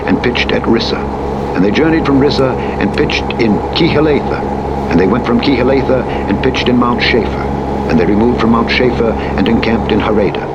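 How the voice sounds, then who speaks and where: A man narrating the Bible in a measured, solemn recitation, reading from a script. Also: on the low side. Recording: muffled playback under loud vehicle engine noise. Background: loud truck simulator engine drone.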